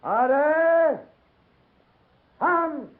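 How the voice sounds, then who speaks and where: An actor playing a bellowing cavalry sergeant who shouts drawn-out parade commands in a 1950s radio drama. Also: male voice, extremely high pitch, animated, full character voice. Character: bellowing cavalry drill sergeant giving orders. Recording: muffled, noisy 1950s radio recording.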